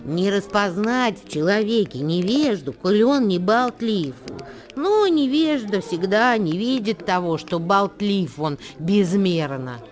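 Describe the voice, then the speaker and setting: An actress in a radio play voicing a solemn female reciter of ancient wisdom verse, measured and declamatory in delivery. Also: mid-range voice, lively intonation. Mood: solemn, instructive. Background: music.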